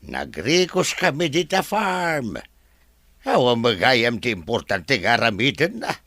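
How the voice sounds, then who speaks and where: A man's voice: medium pitch, very animated, unhurried, a bit bright, no echo, clean studio sound. A man doing a stammering old worker. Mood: sheepish. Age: older. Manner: hesitant, stammering "ay, madam". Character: timid old male resort worker.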